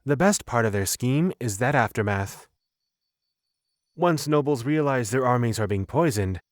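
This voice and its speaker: A male narrator reads a scripted fantasy story. His voice sits at a middle pitch. The recording is very dry, with hardly any room sound. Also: no room sound.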